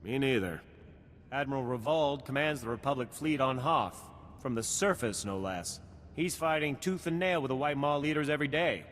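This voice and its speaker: An actor playing a practical, decisive army lieutenant in a video game, in a businesslike briefing tone. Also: male voice, mid-range voice, moderate pace, a bit bright, big-room sound, a little hiss or hum, natural voice. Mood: satisfied, measured.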